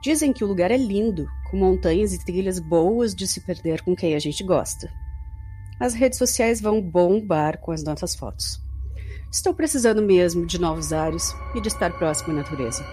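An actress with a Brazilian accent playing a chatty, affectionate young girlfriend in an informal, expressive audio drama. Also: lowish voice, moderate pace, lightly acted. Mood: excited, confiding. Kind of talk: audio drama acting, diary-like monologue. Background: music.

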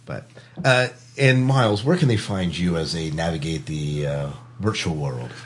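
A man hosts a podcast in a relaxed conversational style. His voice has a slightly husky edge and a fairly deep pitch. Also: moderate pace. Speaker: podcast host. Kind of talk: casual podcast chat.

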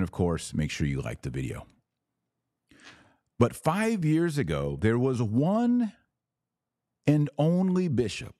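A man hosting an opinionated commentary show, speaking emphatically and indignantly, with stressed pauses on key words. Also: on the low side, darkish tone, dry recording, studio quality. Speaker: podcast host and commentator. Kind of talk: opinionated news commentary to viewers.

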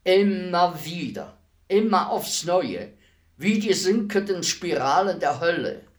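An actor playing a despised, philosophical executioner in a radio play, speaking in a solemn, deliberate, measured monologue. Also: male voice, high-pitched, animated. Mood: brooding, bitter. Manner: measured, grave, deliberate declamation. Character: despised executioner, calm, philosophical killer addressing his victim.

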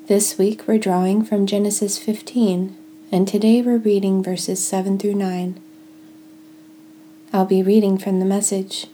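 A woman leading a guided devotional reading in a gentle, deliberate way, pausing between short phrases. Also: medium pitch, a little hiss or hum. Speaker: podcast host leading guided prayer and scripture reading.

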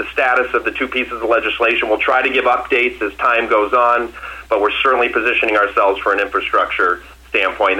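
A man's voice: lowish voice, pushed and bright, low-quality recording. A man from Massachusetts, a company executive answering questions on an earnings call in a confident, emphatic way, often restarting and repeating phrases.

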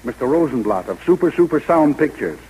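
A man's voice: mid-range voice, very animated, fairly quick, warm tone, natural voice, low-quality recording. A polite, easily startled male assistant acted by a man in 1930s radio comedy. His medium voice leaps wildly up and down as he gets flustered, with light noise from the old broadcast. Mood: polite, puzzled.

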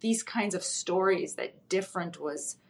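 A woman's voice: fairly low pitch, a little slow. A woman whose first language is Russian, a psychologist speaking as a guest and thoughtfully recounting her own childhood with frequent ums. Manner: thoughtful, with frequent ums and soft trailing ends. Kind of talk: reflective personal storytelling.

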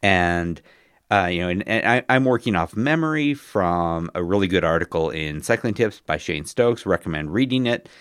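Deep-voiced male podcast host with a cold. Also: expressive, normal speed, a bit bright, smooth, no echo, clean studio sound. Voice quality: bass voice. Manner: hesitant, many ums and restarts. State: cold coming on. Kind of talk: casual podcast chat.

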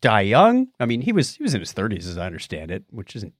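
A man's voice: on the low side, wildly swooping pitch, quick pace, dry recording, clean studio sound. An American man co-hosting a podcast in a lighthearted, jokey way, moving between scripted intro and casual banter. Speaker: podcast co-host. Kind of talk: scripted show opening and casual chat.

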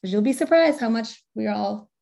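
A woman's voice: a little high, lively intonation, moderate pace, gravelly, mellow. A woman speaking casually as a podcast guest, earnest and encouraging in her answers. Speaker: podcast guest, corporate strategy director.

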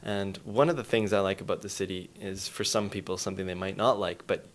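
A man's voice: low voice, fairly even intonation, brisk. A man answering as an interview guest in casual, reflective talk.

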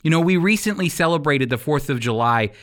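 American male Bible teacher, slightly high register, very lively intonation, emphatic and deliberate sermon delivery. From United States. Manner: emphatic, deliberate phrasing with rhetorical questions. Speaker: Bible teacher and radio program host. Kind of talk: sermon-style Bible teaching with scripture reading.

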